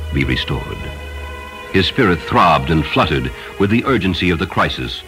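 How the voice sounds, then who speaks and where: A man with an extremely low voice narrates a story in a solemn, dramatic style. His pitch moves widely as he shapes each phrase. Faint music plays behind him. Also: fairly bright tone, low-quality recording. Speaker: audiobook narrator.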